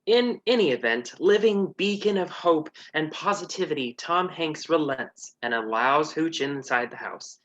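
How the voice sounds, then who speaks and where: A man's voice: fairly high pitch, expressive, a little slow, few pauses, a bit bright, dull audio. A man narrating a comedy story in a dry way, with hesitant asides.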